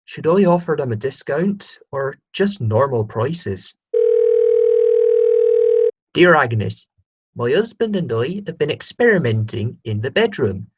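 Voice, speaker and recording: An actor playing earnest letter writers to a radio agony aunt, reading with deliberate comic timing. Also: medium pitch, sing-song, breathy edge, exaggerated voice. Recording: dull, muffled recording.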